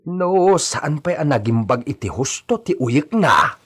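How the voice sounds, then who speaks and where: A man's voice: fairly high pitch, sing-song, measured pace, fairly bright tone, husky, exaggerated voice. A man playing an anxious, grumbling household servant in an Ilocano radio drama, with a questioning, fretful way of talking.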